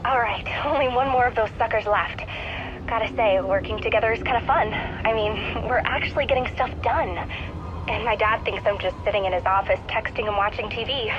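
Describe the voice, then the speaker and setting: A woman's voice: a little high, brisk, dull audio, noisy background, natural voice. A woman playing an eager, earnest teenage sidekick in a video game, chatty, casual and confiding. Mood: excited, admiring. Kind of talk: naturalistic video game voice acting.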